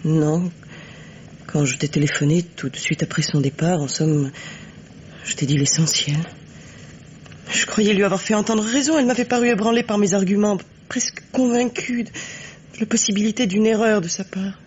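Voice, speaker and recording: An actress playing a wounded, resigned lover with a hesitant, tender, breathy delivery, in the theatrical style of a 1950s radio mystery. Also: female voice, medium pitch, moderate pace, a little mellow, close to an everyday voice. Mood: anxious, hesitant. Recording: dull, noisy old radio recording.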